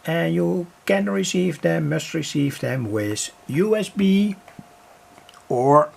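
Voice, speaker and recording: Slow-spoken man with a gravelly creak. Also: fairly high pitch, lively intonation, a little mellow. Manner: halting, thinking aloud while operating. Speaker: amateur radio hobbyist demonstrating a receiver. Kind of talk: unscripted vlog demonstration.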